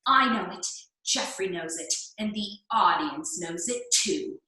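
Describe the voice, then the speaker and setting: A woman's voice: fairly low pitch, expressive, unhurried, sharp tone. A woman playing a brash, defiant fairy tale troublemaker in a comedic radio play, taunting, in a very echoey recording. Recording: very echoey, distant room sound.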